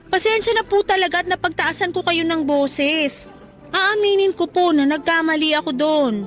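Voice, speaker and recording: A woman's voice: extremely high pitch, moderate pace, fairly bright tone, faint music behind. A Filipino woman playing a respectful, apologetic grown daughter in a radio drama, earnest and pleading with her mother. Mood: earnest explaining.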